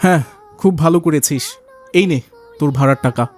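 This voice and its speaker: A man's voice: a little high, a little fast, darkish tone, soft background music, close to an everyday voice. A man voicing a pompous, condescending rich businessman and father in a cartoon. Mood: bossy. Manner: bossy, condescending. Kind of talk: cartoon dialogue acting.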